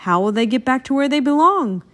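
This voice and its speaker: A dramatic news reporter in a children's storybook, acted by a woman. The delivery is emphatic and pitched up, announcing to the public as on a broadcast. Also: lively intonation, fairly quick. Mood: dramatic, excited.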